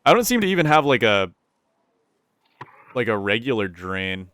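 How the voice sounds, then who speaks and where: A man's voice: fairly low pitch, big pitch swings, normal speed, fairly bright tone, no echo, clean studio sound. A young man with a stuffy, nasal voice, casually commentating his gameplay, thinking aloud and repeating himself. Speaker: gaming YouTuber.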